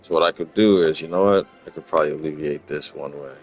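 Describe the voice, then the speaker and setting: A man from Los Angeles, casually talking through his game in a rambling, relaxed way, often repeating words. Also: very deep voice, quick pace, faint music behind. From Los Angeles, USA. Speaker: gaming channel host. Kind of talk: casual gameplay walkthrough commentary.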